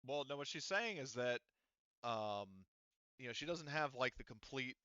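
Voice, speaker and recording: A man's voice: mid-range voice, animated, normal speed, bright, forceful tone, smooth, no echo. A man running a tabletop game as game master, explaining at length with many fillers and restarts. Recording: dull lo-fi sound.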